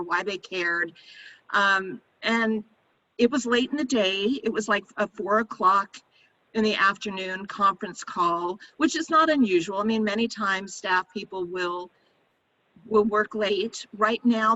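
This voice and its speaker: Bright-voiced woman on a muffled call. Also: mid-range voice. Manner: measured, explanatory. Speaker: panelist. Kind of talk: panel discussion on video call. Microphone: video call. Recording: dull lo-fi video call audio.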